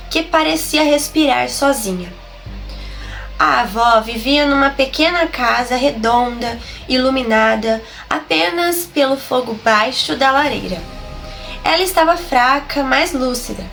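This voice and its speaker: A woman with a Brazilian accent who hosts a horror story channel and narrates slowly and dramatically, her voice nasal and a little hoarse from a flu. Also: high-pitched, fairly bright tone. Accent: Brazilian Portuguese. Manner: slow, dramatic storyteller's delivery. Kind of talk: slow scripted horror narration.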